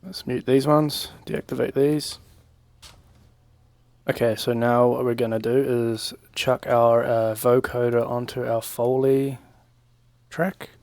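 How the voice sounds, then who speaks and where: A young man giving a casual, informal software tutorial, talking through each step in a laid-back, slangy way at a desk microphone. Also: medium pitch, steady tone, slow pace, vocal fry, no room sound. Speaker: music production tutorial presenter. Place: small home room, indoors. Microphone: desk microphone on a boom arm.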